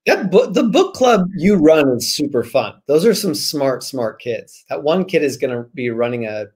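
A man in his forties chatting casually as a guest author on a video call, with a joking, slangy, animated manner. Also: a little high, normal speed, breathy edge. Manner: animated, joking, slangy, acts out imagined remarks. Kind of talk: casual chat interview. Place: small room at home, on a video call.

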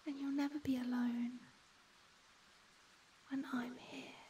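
A woman voicing a shy, gentle young ghost in a soft, breathy whisper for an ASMR comfort roleplay. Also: high voice, little pitch movement, distant mic, a little hiss or hum, low-quality recording. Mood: gentle, wistful. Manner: slow, tender, hesitant.

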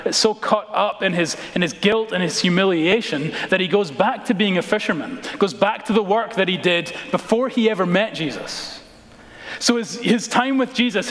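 An American man in his thirties preaching a sermon as a pastor, dramatic and emphatic, with deliberate pauses and repeated phrases, in a large church. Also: high-pitched, a bit bright. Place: large church sanctuary, indoors, preaching from the stage. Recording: reverberant church hall.

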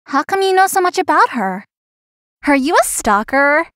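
A woman playing a feisty young florist in a visual novel, earnest and expressive. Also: high voice, moderate pace, no echo, studio quality. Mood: indignant. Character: feisty young female florist, polite but privately resentful.

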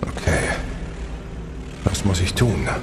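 An actor playing a curious, somewhat bewildered space hero in a science fiction audio drama. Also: male voice, slow pace, noisy background, lightly acted. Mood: resigned. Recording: echoey, loud music behind.

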